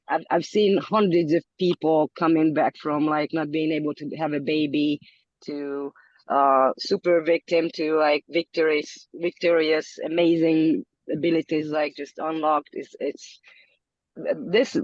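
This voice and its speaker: A woman's voice: low voice, measured pace, darkish tone, no room sound. Female guest; searching, repetitive phrasing; dull, dry call audio. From Hungary. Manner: searching, repeats words when thinking. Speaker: podcast guest. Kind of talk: casual interview talk.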